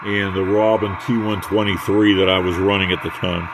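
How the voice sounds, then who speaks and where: An older American man giving a casual hands-on equipment demonstration in a halting, unhurried way. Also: deep voice, little pitch movement, background noise. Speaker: radio hobbyist demonstrating equipment.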